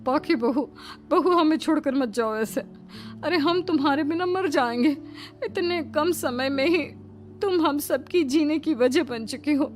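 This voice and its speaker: A woman's voice: high voice, a little slow, soft background music. A woman voicing a possessive, bossy middle-aged mother-in-law in a cartoon, emphatic and melodramatic. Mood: pleading. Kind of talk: Hindi moral-story cartoon dubbing.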